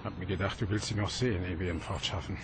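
A down-to-earth police detective in a radio crime drama. His voice is on the low side and colloquial, with clear street noise around it. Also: fairly even intonation, quick pace. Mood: matter-of-fact. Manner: matter-of-fact, colloquial, familiar. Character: familiar, plain-spoken, exasperated male police detective. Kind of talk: German radio play acting.

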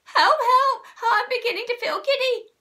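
A woman's voice: very high-pitched, moderate pace, sharp tone, pitched above the performer's own voice. A woman voicing a bossy married woman from a children's story who shrieks and gasps in overwrought panic. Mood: hysterical panic.

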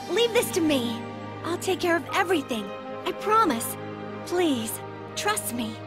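A teasing, high-pitched teenage schoolgirl. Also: very animated, slow pace, full character voice. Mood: insistent. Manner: playful, theatrical, teasing. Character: teasing, bossy, self-assured middle school girl. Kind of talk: anime audio drama acting. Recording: music behind the voice.

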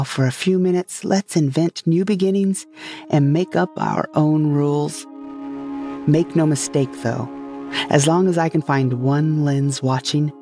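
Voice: medium pitch, darkish tone. Scripted dramatic narration, medium register, monologue, faint music bed.

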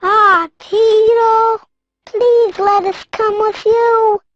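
A performer voicing an excitable, eager tiny boy in a video game cutscene. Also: extremely high pitch, extremely slow, dry recording, dull audio, exaggerated voice. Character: excitable, pleading tiny Lost Boy, squeaky small child.

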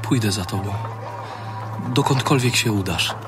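An actor playing an earnest, respectful would-be follower in a dramatized audio Bible. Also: male voice, on the low side, unhurried, music in the background, close to an everyday voice. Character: willing would-be male follower of Jesus.

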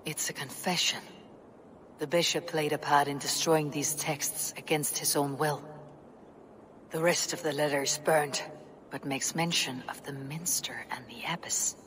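A young Viking warrior from a video game. She speaks gravely and bluntly, with a deliberate, stern manner over faint background noise. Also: deep voice, fairly even intonation, fairly bright tone. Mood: pondering. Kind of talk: video game cutscene acting.

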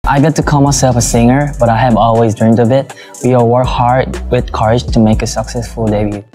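A man's voice: mid-range voice, steady tone, fairly quick, warm tone, faint music behind. A young man speaking careful, earnest English in a short repeated clip.

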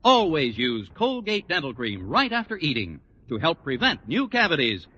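A man's voice: a little high, huge pitch swings, normal speed, pushed and bright, slightly rough. A man reading a scripted 1940s radio commercial as an announcer, with formal, emphatic sales delivery. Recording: muffled, lightly noisy old radio recording.

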